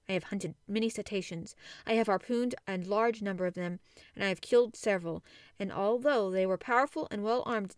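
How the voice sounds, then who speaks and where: A woman's voice: fairly high pitch, no room sound, studio quality, close to an everyday voice. A woman voicing an impish, stubborn male Canadian harpooner in a storybook reading, speaking emphatically.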